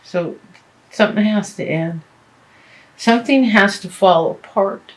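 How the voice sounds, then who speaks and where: A woman giving a tarot reading, losing her voice, talking in a halting way with many fillers and restarts. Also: medium pitch, rough recording. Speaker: tarot reader.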